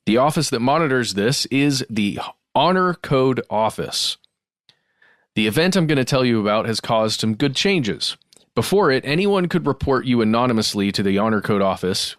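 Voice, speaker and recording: A man's voice: mid-range voice, no room sound, studio quality. A man co-hosting a podcast, reading listener emails aloud in a conversational, explanatory way with asides and recaps.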